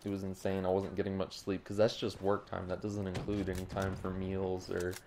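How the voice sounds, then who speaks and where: Sick young man, hoarse gravelly voice, low flat delivery, faint hiss behind. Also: fairly quick. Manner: rambling, apologetic, many ums. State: sick with a sore throat. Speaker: gaming YouTuber. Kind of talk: casual voice-over commentary over gameplay. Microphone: home desk mic with a cough drop.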